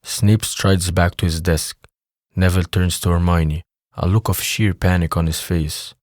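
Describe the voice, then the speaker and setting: Male narrator, low voice, scripted storytelling, dry close sound. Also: steady tone, a little slow, darkish tone, no room sound. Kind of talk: scripted audio drama narration.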